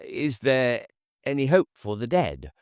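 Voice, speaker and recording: A man voicing an earnest, nervous young male preacher who speaks brightly and stammers. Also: medium pitch, wildly swooping pitch, unhurried, clear, resonant tone, no room sound, clean studio sound, voice pushed up.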